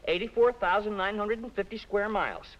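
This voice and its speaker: A man's voice: high voice, a little fast, natural voice. A male actor playing a cheerful, know-it-all young soldier in a 1950s film comedy. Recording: echoing old film soundtrack with music.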